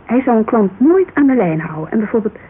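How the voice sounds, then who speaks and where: A woman's voice: fairly high pitch, sing-song, fairly quick, slightly rough. An actress playing a guarded, candid agency owner in her thirties in a naturalistic Dutch radio drama, speaking colloquially and searching for words. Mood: earnest. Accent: Dutch, Netherlands. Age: 30s. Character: thirty-three-year-old Amsterdam model agency owner, guarded, wistful, candid. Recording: narrow, hissy old radio recording.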